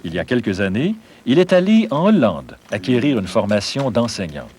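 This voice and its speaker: A man narrating a news documentary in a measured, scripted delivery and putting questions to interviewees. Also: mid-range voice, very animated, darkish tone, breathy edge.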